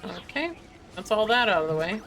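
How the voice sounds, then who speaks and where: A woman streaming a video game with casual, offhand commentary. Her voice is of middling pitch, and game music plays clearly behind it. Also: very animated, moderate pace.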